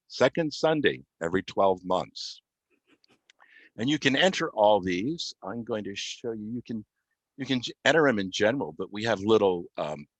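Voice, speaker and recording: A man giving an unscripted software demonstration as a guest, explaining step by step with halting, mid-phrase pauses. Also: fairly low pitch, no echo. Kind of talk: unscripted software demo walkthrough.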